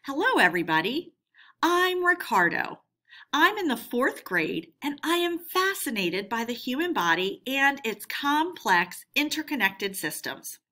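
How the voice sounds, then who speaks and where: Slow, expressive woman teacher reading aloud. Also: high-pitched. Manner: clear, instructional, addressing pupils. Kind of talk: read-aloud lesson for children.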